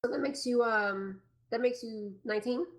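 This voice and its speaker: A woman's voice: fairly high pitch, moderate pace. A woman in her twenties hosts a casual podcast chat. She is chatty and teasing, and she laughs easily with her guest. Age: 20s. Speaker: podcast host.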